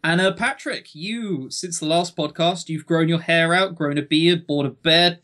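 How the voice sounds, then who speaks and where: He is a young man in his early twenties with a fairly high voice. He talks casually as a podcast co-host, joking as he goes. His banter is tongue-in-cheek and often at his own expense. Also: a little slow, sharp tone.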